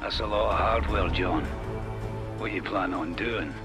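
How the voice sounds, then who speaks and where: An old Scottish military mentor with a fairly low voice. He briefs in a steady, wry, matter-of-fact way, as in a war game cutscene, with clear music underneath. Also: unhurried. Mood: wry briefing. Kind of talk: video game mission briefing.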